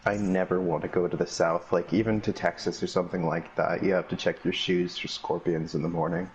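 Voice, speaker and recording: A man's voice: low voice, flat delivery, brisk, muffled recording, slight background noise. A man chatting bluntly while playing a game with friends, swearing casually. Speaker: guest player. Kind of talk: casual gaming chat.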